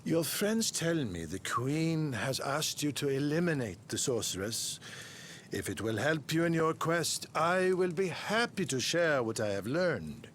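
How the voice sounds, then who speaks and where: A man voicing a kindly, formal scholar of arcane arts in a video game, telling things in a measured, storytelling way. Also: fairly high pitch, big pitch swings, a bit bright, slight background noise. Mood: earnest, storytelling. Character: kindly, courteous, self-important male scholar of arcane arts. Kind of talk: video game character exposition.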